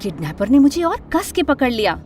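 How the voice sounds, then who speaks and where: Female narrator for an animated story, high voice, dramatic first-person telling, light music bed. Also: a little fast. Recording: music under the voice.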